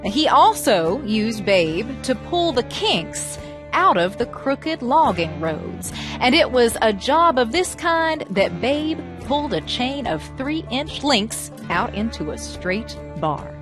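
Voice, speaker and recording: Woman, storytelling narration, very lively swooping pitch, theatrical emphasis, music behind. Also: takes it slowly. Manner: expressive, theatrical, emphatic phrasing. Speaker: storyteller and presenter. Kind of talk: animated storytelling narration of American folklore.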